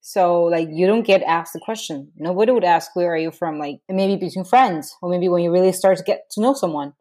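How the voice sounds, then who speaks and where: A woman's voice: on the low side, fairly quick, darkish tone. A woman interviewing a friend in a casual chat, asking curious questions and agreeing along. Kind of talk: casual conversation.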